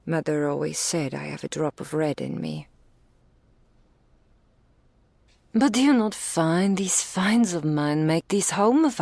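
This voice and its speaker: A woman's voice: fairly low pitch, darkish tone, no echo, full character voice. A woman playing a wealthy, doting, possessive female dragon in an intimate ASMR roleplay, speaking in grand, refined phrases that slip into whispers. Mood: doting concern. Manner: grandiloquent, slow, affectionate, with breathy whispered phrases.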